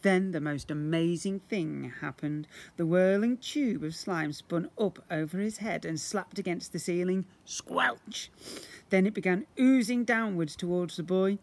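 Expressive man reading a children's story aloud. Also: high-pitched, a little slow, creaky. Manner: expressive, acting out sound effects. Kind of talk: animated read-aloud storytelling for young children. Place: outdoors, beside brick houses. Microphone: phone or camera at about arm's length.

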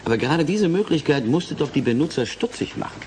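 Man playing a lively, sceptical questioner. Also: on the high side, fast talker, natural voice. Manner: formal, questioning. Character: probing, sceptical male questioner of a president. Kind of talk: German radio play acting.

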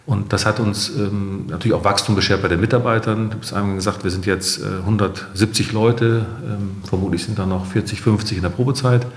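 A man's voice: on the low side, steady tone, a little fast, hardly any pauses. A middle-aged man speaking conversationally as a company founder and guest in a podcast interview. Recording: echoey room with long reverb.

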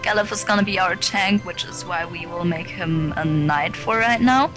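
A woman's voice: fairly low pitch, normal speed. A woman co-hosting a video game playthrough, casually explaining her plans and laughing along. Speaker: let's play co-host. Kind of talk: casual gameplay chat. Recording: game music underneath.

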